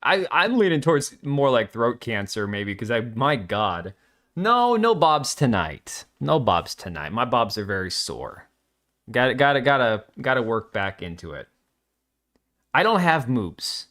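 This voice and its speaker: A man's voice: medium pitch, very animated, fairly bright tone. Joking, rambling male stream host. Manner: rambling, jokey, reacts to chat with quick asides. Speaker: livestream host. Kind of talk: casual live-stream chat.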